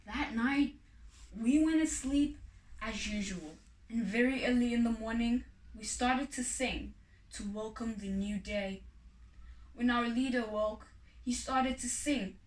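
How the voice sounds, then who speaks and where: A woman narrating a children's folk tale expressively, with deliberate, dramatic phrasing and pauses. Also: a little high. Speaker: storybook reader. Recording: echoey room, distant microphone.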